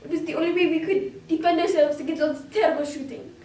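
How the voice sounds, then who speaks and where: A woman performing a dramatic monologue as a frightened, anguished young wartime girl, emotive and deliberate in delivery. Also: extremely high pitch, fairly even intonation, a little mellow, a little hiss or hum. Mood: frightened, grim. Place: indoor room, recorded from a distance. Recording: roomy echo, distant microphone.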